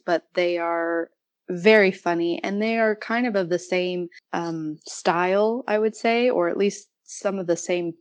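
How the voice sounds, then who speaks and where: Low-pitched woman, chatty podcast guest. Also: darkish tone, no room sound. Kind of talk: casual literary discussion.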